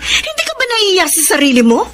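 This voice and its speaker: A woman's voice: extremely high pitch, wildly swooping pitch, normal speed, noisy background, full character voice. An actress playing a bitter, defiant mother in a Filipino radio drama, confrontational and scornful in her delivery. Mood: scornful, firm.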